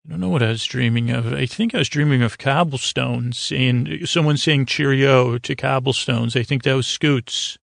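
Doting, dim, well-rested spouse played by a man: creaky, halting, affectionate breakfast musing for a podcast skit, dry sound. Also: mid-range voice, no echo, lightly acted. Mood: admiring, affectionate. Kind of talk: improvised comic dialogue.

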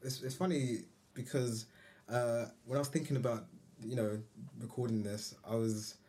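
A man's voice: medium pitch, steady tone, normal speed, warm tone, slight background noise. Thoughtful man in casual podcast chat. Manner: thoughtful, restarts sentences. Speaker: podcast guest. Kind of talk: casual conversation.

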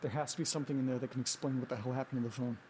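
A man playing an anxious, confused character who talks to himself haltingly in a naturalistic audio drama monologue. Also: mid-range voice, fairly even intonation, darkish tone, a little hiss or hum, close to an everyday voice. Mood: agitated, baffled. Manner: halting, repeating himself, muttering. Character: anxious man named Nate straining to recover lost memories.

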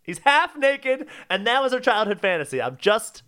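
A man with a high, very lively voice that cuts through sharply. He talks like a podcast co-host in a comic debate, pressing his point emphatically and repeating key phrases. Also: moderate pace. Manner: emphatic, insistent, repeating himself. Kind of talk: casual comedic debate.

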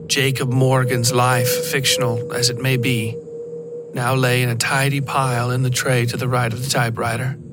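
Man narrating horror fiction over prominent music. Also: medium pitch. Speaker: podcast narrator.

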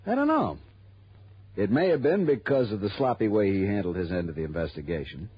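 A man's voice: lowish voice, big pitch swings, fairly quick, slightly rough, no room sound. An actor playing a businesslike, suspicious insurance office man in a 1960s radio drama, emphatic and explanatory. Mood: suspicious, explaining. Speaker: supporting actor. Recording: dull old radio broadcast recording.

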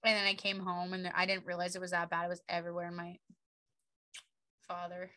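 Brief: raspy young woman co-host, bright and chatty, giggles, close dry mic. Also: on the low side, completely flat delivery, brisk, dry recording. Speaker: podcast co-host. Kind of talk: casual chat.

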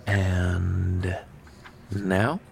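A man's voice: low voice, flat delivery, drawn-out words, gravelly, breathy edge, full character voice. A man playing a teasing, determined assassin who wants to go straight, coaxing and emphatic, in an audio drama.

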